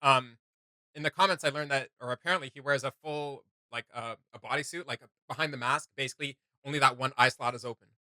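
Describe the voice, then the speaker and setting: A man's voice: medium pitch, quick pace, pushed and bright, dry recording. A man hosting a reaction video, talking in a casual, informal, joking way.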